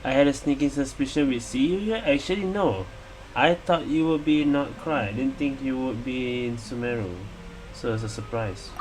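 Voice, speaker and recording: A man's voice: medium pitch, normal speed. A man giving casual gameplay commentary, musing and reacting aloud as a gaming streamer. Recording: steady hum of room noise.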